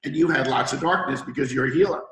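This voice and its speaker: A middle-aged man with a hoarse voice from a cold, hosting an interview as a healer and explaining things at length with analogies. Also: medium pitch, fast, roomy sound. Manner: confident, lecturing with analogies. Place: room in Thailand.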